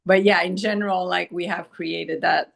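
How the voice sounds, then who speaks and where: A woman's voice: lowish voice, fairly even intonation, normal speed, vocal fry. A woman from Quebec with a Quebec French accent, a guest in a podcast interview, talking reflectively and laughing often. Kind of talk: casual conversational interview.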